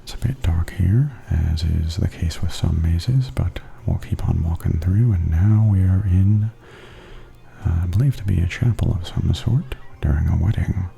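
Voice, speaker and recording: Very dark, hushed male ASMR voice. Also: low voice. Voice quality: hushed, breathy half-whisper. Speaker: ASMR host and tour guide. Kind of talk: softly spoken ASMR commentary. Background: theme park haunted attraction ambience.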